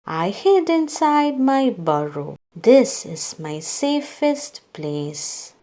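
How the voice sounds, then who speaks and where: Woman reading a kids storybook out loud, super slow and deliberate, really up and down pitch. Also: big pitch swings. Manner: slow, deliberate delivery for young children. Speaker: children's storybook narrator. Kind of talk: scripted read-aloud children's story with character dialogue.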